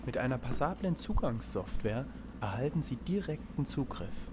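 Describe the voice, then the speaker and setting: A man's voice: medium pitch, very animated, normal speed, natural voice. A man playing a formal, probing lecturer and questioner in an experimental radio play. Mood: declamatory. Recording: noisy amateur recording.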